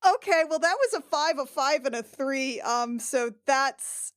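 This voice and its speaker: A woman with a fairly high voice, recorded dry and without room sound. She talks casually as a tabletop player, improvising her narration in halting bursts and thinking aloud with the odd swear word. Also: animated, dry recording. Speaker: player in a tabletop RPG podcast.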